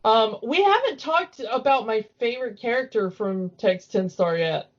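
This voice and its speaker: A woman's voice: a little high, animated, normal speed. Chatty woman podcast host, dull lo-fi sound. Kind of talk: casual chat, cartoon commentary. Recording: dull lo-fi audio.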